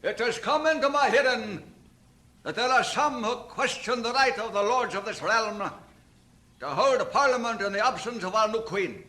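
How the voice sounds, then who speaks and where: An actor playing a stern lord presiding over a Scottish Parliament, declaiming formally in old radio drama style. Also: male voice, high voice, expressive, normal speed, sharp tone. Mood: formal, commanding. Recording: echoey hall sound.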